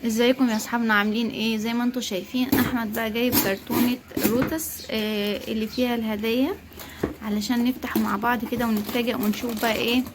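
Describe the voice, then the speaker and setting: A woman's voice: fairly high pitch, flat delivery, fast talker. A woman with an Egyptian accent, chatting casually to viewers as she co-hosts a family vlog and prompts a child along, on a noisy home-video recording. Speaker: mother co-hosting a family unboxing vlog.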